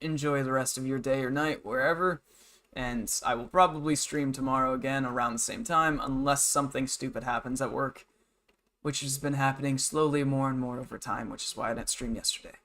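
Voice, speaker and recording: Guy in his 20s just rambling on stream, blunt grumbly venting, normal mid pitch. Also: fairly even intonation, brisk, bright, forceful tone. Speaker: game livestreamer. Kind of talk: casual rambling stream chat, venting about work.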